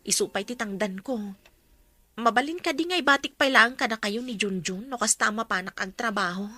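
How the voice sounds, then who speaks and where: Bright-voiced young working mother, radio drama. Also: fairly high pitch, brisk, no room sound. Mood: earnest, resigned. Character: young mother working at a school canteen, dutiful. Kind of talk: Ilocano radio drama acting.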